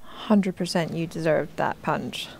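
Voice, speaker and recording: A woman's voice: sits low, fairly even intonation, unhurried, gravelly, a little mellow, a little hiss or hum. A woman co-hosting a reaction show in casual chat, with short, offhand interjections.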